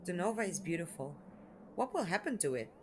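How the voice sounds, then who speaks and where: Lively, curious female companion, acted by a woman. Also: lowish voice, fairly quick, background noise. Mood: concerned, curious. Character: curious, caring young female travelling companion. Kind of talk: audio drama acting.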